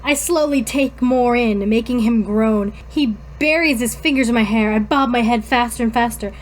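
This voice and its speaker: A giggly, informal woman co-hosting a podcast, reading fan fiction aloud haltingly and breaking off to comment. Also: a little high, a little hiss or hum. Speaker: podcast co-host.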